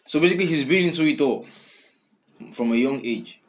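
A man's voice: medium pitch, brisk. Hesitant young man reacting to a song. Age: young adult. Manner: hesitant, filler-heavy, repeats lyrics back. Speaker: music reaction host. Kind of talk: casual song reaction commentary. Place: small room indoors. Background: song playing in the background.

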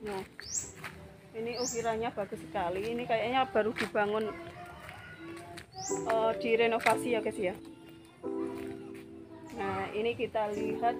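A woman's voice: on the high side, fairly even intonation, a little slow, pauses often. A woman in her thirties with a Javanese accent, chatting to viewers while walking through a village with a phone in hand. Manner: chatty, addresses viewers as guys often. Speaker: vlogger. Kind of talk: casual walking vlog. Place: outdoors in a village lane. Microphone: handheld phone at arm's length. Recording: background music.

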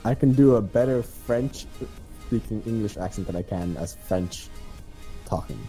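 Playful low-voiced gaming host. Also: male voice, normal speed, dark tone, faint music behind, rough recording. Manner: playful, giggly, squeals for fun. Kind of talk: casual co-op gameplay commentary.

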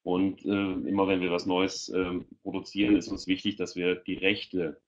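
Middle-aged man, very low voice, informal webinar explainer, searching for words, muffled headset recording. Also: completely flat delivery, moderate pace. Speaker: panel guest presenting a children's audio portal. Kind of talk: informal presentation in an online webinar. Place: indoor room, video call. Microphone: headset on a video call. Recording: dull lo-fi video-call audio.

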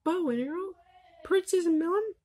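A woman's voice: extremely high pitch, normal speed, a little mellow, pitched above the performer's own voice. A woman voicing an eager, clumsy little girl apprentice witch in an amateur anime fandub, earnest and childlike. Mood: amazed.

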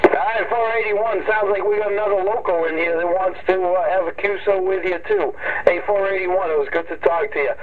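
A man's voice: sits high, steady tone, a little fast, a crowd in the background. A friendly, courteous man chatting casually as a CB radio operator. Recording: tinny radio sound, clear static.

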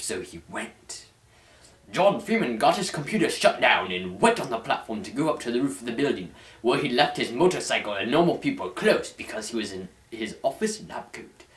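A young man reads aloud like the host of a dramatic storytelling show. His mid-range voice rises and falls in an animated, mock-formal way. The sound is roomy, picked up by a camera mic in a home room. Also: medium pitch. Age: young adult. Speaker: host reading fan fiction aloud. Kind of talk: mock-dramatic scripted narration. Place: small indoor room at home.

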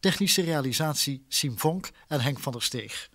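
A man's voice: on the high side, lively intonation, measured pace, a bit bright. A man announcing on a vintage radio broadcast, formally reading out closing credits.

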